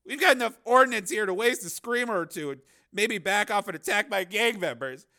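Man acting an agitated, high-pitched, sardonic news cameraman. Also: lively intonation, brisk, bright, forceful tone, full character voice. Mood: sarcastic, agitated. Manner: agitated, protesting, sarcastic. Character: nervous, exasperated, sardonic young-sounding male TV news cameraman. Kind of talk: acted audiobook dialogue.

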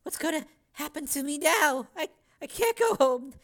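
A woman's voice: high-pitched, expressive, normal speed, fairly bright tone. A woman voicing a frightened, tearful teenage girl in an audiobook, pleading and trembling as she talks. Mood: distraught. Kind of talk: audiobook character reading.

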